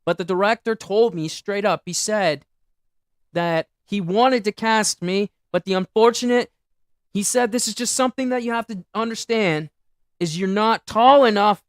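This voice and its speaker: A young man hosting a solo livestream, rambling and boasting loudly about himself. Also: high-pitched, moderate pace, no echo, studio quality. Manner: boastful, rambling, self-aggrandizing. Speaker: livestream host.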